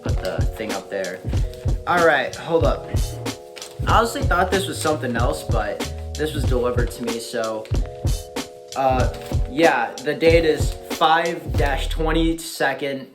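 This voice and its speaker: A young American man chatting casually to his viewers in a halting, informal way, thinking aloud as he goes. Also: mid-range voice. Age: young adult. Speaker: YouTuber. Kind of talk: casual vlog chat to viewers. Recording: music playing behind the voice. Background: background beat music.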